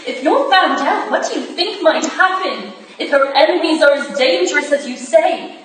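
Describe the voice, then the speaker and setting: A high-voiced Victorian wife, played by an actress in a period stage play, hurt and indignant. She challenges and reproaches pointedly, heard at a distance in a very echoey room. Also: lively intonation, normal speed, a bit bright, a little hiss or hum. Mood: reproachful. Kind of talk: staged reading of a period drama. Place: staged reading in a room, recorded from afar.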